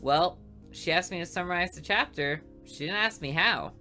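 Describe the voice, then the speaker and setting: A woman voicing a sarcastic, lazy male high school student in a game, talking in a dry, smug drawl. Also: normal speed, bright, forceful tone, faint music behind.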